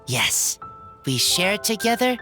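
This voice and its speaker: A woman's voice: medium pitch, big pitch swings, slow pace, bright, forceful tone, soft background music, heavily acted. A woman voicing an earnest young wild boy in a video game, speaking in short, simple, sincere phrases. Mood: earnest, gentle.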